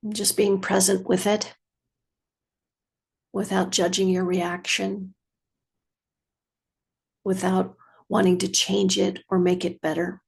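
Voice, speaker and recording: Female meditation guide. Unhurried, measured, soothing delivery with gravelly fry and generous pauses. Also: mid-range voice, flat delivery. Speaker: meditation teacher.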